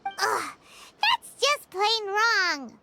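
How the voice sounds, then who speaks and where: A woman's voice: extremely high pitch, very animated, extremely slow, very noisy, full character voice. A woman voicing a tiny, curious, childlike fairy companion in a video game, exclamatory and blunt. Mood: disgusted. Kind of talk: video game character dialogue.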